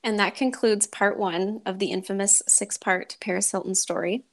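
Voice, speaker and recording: A woman's voice: medium pitch, steady tone, moderate pace. A woman podcast host, talking and reading a story aloud in a dramatic way.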